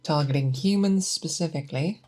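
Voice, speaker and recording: A woman playing a seasoned, patient monster hunter who teaches an apprentice in a soft, deliberate, questioning way, in a close-miked ASMR roleplay. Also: low-pitched, a little hiss or hum, close to an everyday voice. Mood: explanatory, musing. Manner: deliberate, instructive, questioning, thoughtful pauses. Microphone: close binaural microphone.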